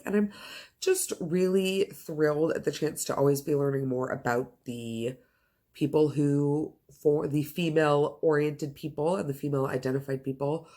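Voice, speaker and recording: A woman with a notably low voice talks straight to her viewers in a casual, conversational way. She is enthusiastic and emphatic, gushing as she reviews books. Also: dark tone. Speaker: book reviewer and video host. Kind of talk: casual to-camera book chat.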